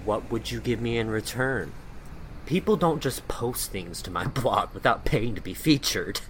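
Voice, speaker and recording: An actor playing a mercenary blogger who teases and drives a hard bargain, with a smug manner. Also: male voice, lowish voice, lively intonation, normal speed, a little hiss or hum, lightly acted. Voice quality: smug, teasing.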